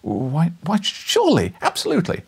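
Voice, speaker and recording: A man's voice: a little high, wildly swooping pitch, normal speed, vocal fry, husky, voice pushed up, heavily acted. A man voicing a humble, flustered young journalist in a storybook, stammering and over-eager to agree. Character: meek flustered young male journalist bluffing politely.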